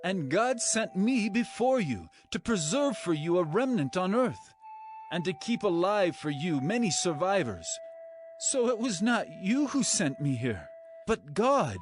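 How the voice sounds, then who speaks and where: An actor playing a commanding yet tender brother and governor in a dramatized scripture, speaking in an earnest, declamatory way. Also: male voice, high voice, big pitch swings, a little slow, faint music behind. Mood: earnest, reassuring.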